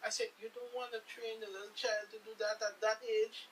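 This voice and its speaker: A man on a radio panel chatting casually in a halting, anecdotal way. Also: high voice, flat delivery, a bit bright, recorded from a distance, slight background noise, rough recording. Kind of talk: casual chat.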